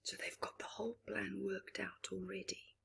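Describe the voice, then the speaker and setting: A woman reading a picture book aloud to children, deliberate and expressive, with long pauses and small asides. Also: mid-range voice, gravelly, whispered. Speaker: children's storytime reader.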